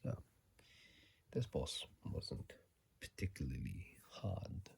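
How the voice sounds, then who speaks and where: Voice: rumbling low, sing-song, slow pace, vocal fry, slight background noise. An ASMR host whispering casual, rambling game commentary into a phone microphone, often correcting themselves mid-sentence. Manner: soft unvoiced whisper, rambling, with self-corrections. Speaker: ASMR gaming channel host.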